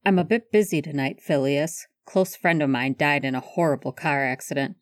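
Woman as a low-voiced, grumpy sardonic man. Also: normal speed, no room sound, lightly acted. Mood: flatly evasive.

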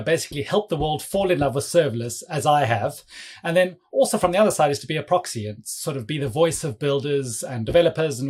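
Husky-voiced South African man. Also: medium pitch. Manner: chatty, self-deprecating, many fillers. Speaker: guest, developer advocate. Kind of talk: casual podcast interview.

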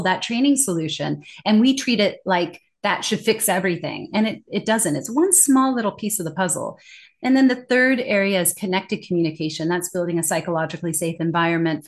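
A woman talking in a conversational interview as a communication trainer, animated and emphatic, laughing often. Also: fairly low pitch.

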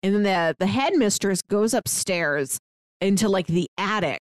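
A woman's voice: mid-range voice, expressive, moderate pace, dry recording, studio quality. A woman co-hosting a podcast, chatting casually about a film with frequent "like" fillers and playful sarcasm. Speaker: podcast co-host. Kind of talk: casual movie discussion.

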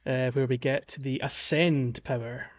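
A man with a medium-pitched voice talks casually as a podcast host. He explains and chats in an informal gaming-podcast style. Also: fairly even intonation, measured pace.

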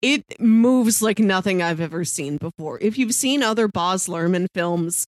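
A woman's voice: medium pitch, lively intonation, measured pace, no room sound. Woman podcaster with a close, dry sound. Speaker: podcast co-host. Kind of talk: casual chat.

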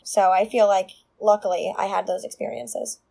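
Woman, vocal fry, mid pitch, casual chatty teacher. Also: steady tone, moderate pace, mellow. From Long Island, New York. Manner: chatty, many ums and likes. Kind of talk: casual discussion.

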